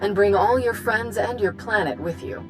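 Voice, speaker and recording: A confident female head of a galactic republic, performed by an actress for a video game cutscene. She is brisk and wry, speaking in a middle register. Music plays behind her. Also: medium pitch, lightly acted, poor audio. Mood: wry, persuasive. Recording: music under the voice.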